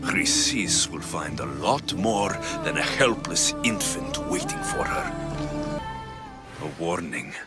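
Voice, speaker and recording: An intense young warrior, set over loud game music. His delivery is slow and brooding, swinging widely in pitch toward anger. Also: wildly swooping pitch, full character voice. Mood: grim. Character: vengeful young male Greek mercenary hero. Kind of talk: dramatic video game acting.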